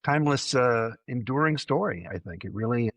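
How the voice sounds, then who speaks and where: A man speaks as a podcast panelist in a loose, rambling and enthusiastic way. His pitch sits in the middle range. The sound is dry and free of echo. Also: lively intonation, moderate pace, fairly bright tone. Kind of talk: casual chat.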